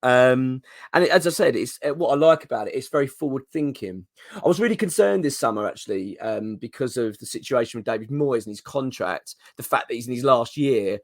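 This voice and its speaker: A man with a hoarse voice from a cold, hosting a fan channel in casual, rambling commentary full of ums and restarts. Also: medium pitch, a little fast, no room sound, clean studio sound. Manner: chatty, rambling, excitable with many ums and restarts. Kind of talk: casual solo commentary to camera.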